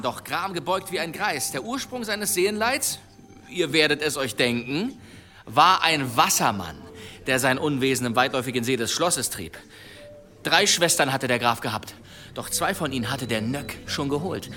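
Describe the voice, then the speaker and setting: An actor playing a boastful monster hunter telling tales in an archaic, theatrical manner in an audio drama. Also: male voice, a little high, pushed and bright. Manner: theatrical, dramatic pauses, savouring his tale. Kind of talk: German audio drama storytelling, archaic wording.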